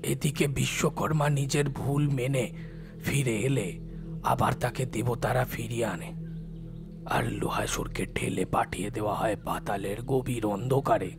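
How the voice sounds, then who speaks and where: Guy doing solemn tantric narrator for a horror audio drama, slow n creaky, music behind. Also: medium pitch, steady tone. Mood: grave, explanatory. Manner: slow, hushed, deliberate storytelling. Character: solemn, compassionate male tantric storyteller. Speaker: narrating lead character.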